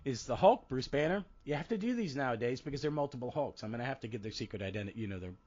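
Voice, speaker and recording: A man's voice: medium pitch, expressive, rapid-fire, a little mellow, highs cut off. Congested man with a froggy cold voice. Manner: rambling, self-interrupting. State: head cold. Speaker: podcast host. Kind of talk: casual podcast chat.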